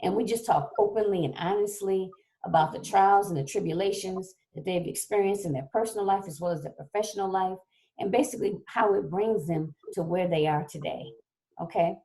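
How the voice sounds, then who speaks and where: A woman hosting a livestream talk show, hoarse from a recent cold, chatty and often checking in with her viewers. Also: medium pitch, brisk, mellow, rough recording. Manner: chatty, keeps checking in with "okay?". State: hoarse, getting over a cold. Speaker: show host.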